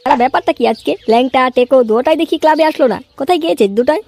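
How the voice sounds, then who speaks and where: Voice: high-pitched, rapid-fire, vocal fry, darkish tone. An actor voicing a crude, boozy, irritable young layabout in a comedy cartoon, whining and swearing. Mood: puzzled, complaining.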